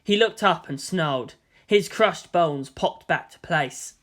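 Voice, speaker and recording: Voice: mid-range voice, slow, fairly bright tone. A narrator reading an action story aloud in a dramatic, scripted storytelling style.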